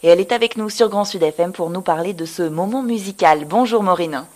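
A woman radio host introducing and interviewing a guest in a clear, polished announcer's delivery. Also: fairly low pitch, fairly quick, darkish tone.

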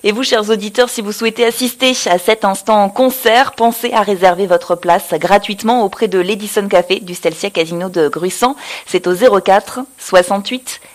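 A woman radio host introducing and interviewing a guest in a clear, polished announcer's delivery. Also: medium pitch, moderate pace.

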